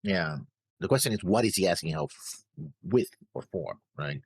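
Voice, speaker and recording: A man co-hosting a reaction show in casual chat, animated but halting, often correcting himself as he goes. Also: low-pitched, breathy edge, dry recording.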